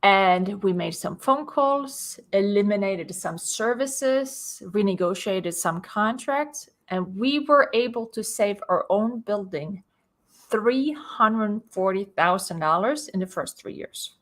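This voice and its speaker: A woman from Sweden, a guest on a video-call podcast, explaining her business story in a clear, methodical way with light laughs. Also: medium pitch, animated, slow pace. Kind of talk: interview storytelling.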